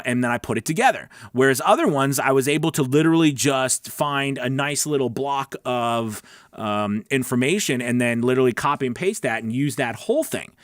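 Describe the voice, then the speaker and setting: A man's voice: mid-range voice, fairly bright tone, dry recording, clean studio sound. A man giving casual, conversational instruction as a livestream coach, often checking in with his listeners. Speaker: voice-over coach hosting a weekly livestream.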